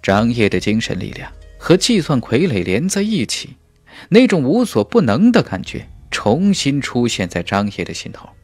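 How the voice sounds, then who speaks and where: A man's voice: mid-range voice, wildly swooping pitch, normal speed, no echo, soft background music. A man narrating a fantasy novel as a scripted audiobook, with a dramatic storytelling delivery.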